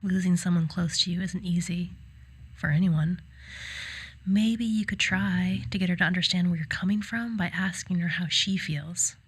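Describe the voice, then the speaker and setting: A calm female therapist in an audio drama. Her voice is lowish and breathy, with a husky edge. She questions gently and patiently, in a measured, natural way. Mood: patient, probing.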